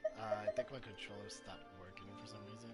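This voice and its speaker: A man chatting casually as a game streamer, with a halting, hesitant way of talking. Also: lowish voice, steady tone. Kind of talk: casual livestream chat. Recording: faint music behind the voice.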